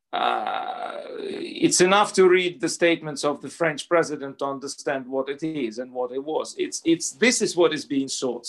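Guy, middle-aged, panel talk over a video call, restarts words, kinda high voice. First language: Russian. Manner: earnest, argumentative, repeats words as he restarts. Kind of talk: panel discussion remarks. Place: home room indoors.

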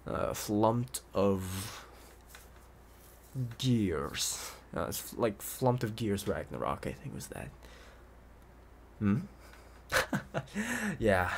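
An unhurried, coaxing young man, as a male voice actor plays him in an ASMR roleplay, obsessive and possessive yet playful. His pitch swings freely as he dotes on the listener, over some background noise. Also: heavily acted. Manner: coaxing, hesitant pauses, hushed asides.

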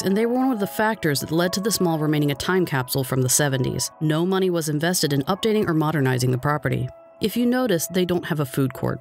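A man with an American accent reading scripted documentary narration in a measured, informative way. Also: fairly high pitch. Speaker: video narrator. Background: background music.

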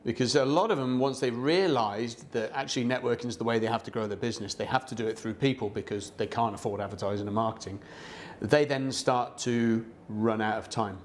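A man's voice: medium pitch, a little fast, hardly any pauses, a little hiss or hum. A middle-aged man hosting a business interview show in an upbeat, friendly manner, on a lapel mic in a studio. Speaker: host. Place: studio set with orange backdrop.

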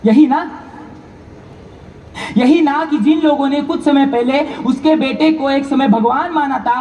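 Man acting anguished doctor, high-pitched, echoey hall. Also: a little slow, warm tone. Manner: declamatory, repeats phrases for emphasis. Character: beaten, anguished yet dutiful male doctor, pleading to society. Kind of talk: loud theatrical stage monologue. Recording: echoey hall from far off, noisy.

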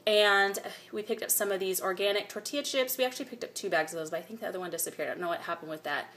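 A chatty woman in her thirties talks through her grocery haul at home, recorded close on a phone camera. She reads prices aloud in a relaxed, easygoing way, stopping for small fillers. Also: mid-range voice, very fast, a little hiss or hum. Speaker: vlogger. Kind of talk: casual grocery haul chat. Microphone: phone camera held close.

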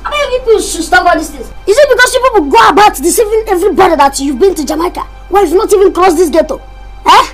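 A quarrelsome, boastful young Nigerian man from a comedy film. His voice is strikingly high and childlike, and he trips over his words. Music plays clearly behind him. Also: lively intonation, fast, fairly bright tone. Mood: indignant. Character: cocky, hot-headed young man with childlike voice.

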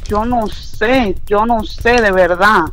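A woman's voice: medium pitch, slow, fairly bright tone, a little hiss or hum. A nervous, distressed young woman on a phone call, protesting in a pleading, repetitive way. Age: young adult. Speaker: prank call target. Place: on the other end of a phone call. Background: music.

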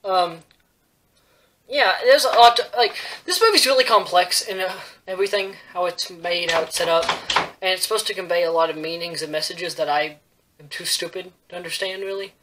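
A young man giving a casual movie review to camera in a bedroom, halting, rambling and self-deprecating. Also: high-pitched, pushed and bright, a little hiss or hum. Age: young adult. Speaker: host of a movie review show. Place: small bedroom, indoors.

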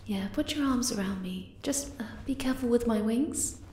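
A woman voicing a shy, flustered young moth-girl lover in an ASMR roleplay, hesitant, tender and soft-spoken. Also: on the high side, reverberant, a little hiss or hum, close to an everyday voice.

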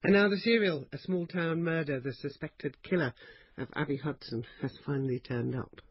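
A man's voice: fairly high pitch, moderate pace. A man reading scripted continuity announcements as a radio announcer. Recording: dry, slightly muffled radio studio.

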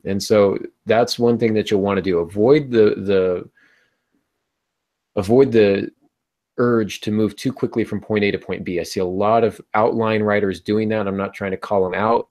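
A man's voice: lowish voice, a little mellow. A middle-aged man hosting a casual panel discussion on writing, conversational and thinking aloud, on a video call with earbuds from a small home room. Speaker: podcast host. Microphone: earbud headset on a video call.

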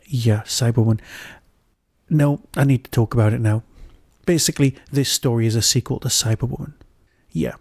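A man's voice: medium pitch, moderate pace, a little mellow, slightly rough, dry recording, clean studio sound. A man hosting a podcast, delivering a review as a monologue and often answering his own rhetorical questions.

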